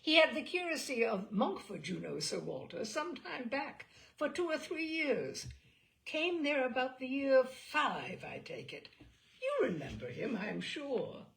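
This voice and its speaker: A woman's voice: high-pitched, very animated, unhurried, gravelly, a bit bright, voice pushed up, heavily acted. A woman voicing a fussy, talkative, deferential male lawyer in an audiobook of a classic novel, rambling eagerly and interrupting himself. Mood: delighted, relieved.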